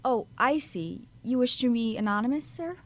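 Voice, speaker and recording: A woman's voice: a little high, expressive, normal speed. A woman playing a genteel charity collector in a radio drama, formal, courteous and earnest.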